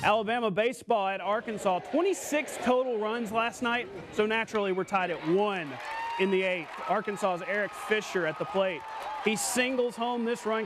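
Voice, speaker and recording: A man anchoring a local sportscast, reading scripted highlights in punchy, clipped calls. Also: background noise.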